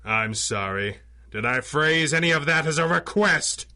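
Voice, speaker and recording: An actor playing a suave, commanding masked superhero in a 1930s-style radio drama, with crisp, formal, authoritative diction. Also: male voice, fairly high pitch, animated, measured pace, bright, forceful tone, exaggerated voice. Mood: stern, menacing.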